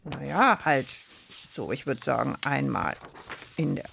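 Playful female radio host, lively pitch. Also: a little slow, vocal fry, noisy background. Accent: German. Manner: playful, laughs often. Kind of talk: lively children's radio chat. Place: radio studio. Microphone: studio microphone.

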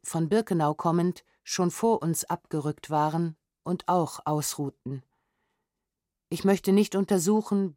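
A woman narrates in with a fairly low voice. Her delivery is measured, sober and precise, as in a documentary audiobook. The sound is dry and close, recorded on a studio microphone. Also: darkish tone, no room sound. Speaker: narrator reading historical documents.

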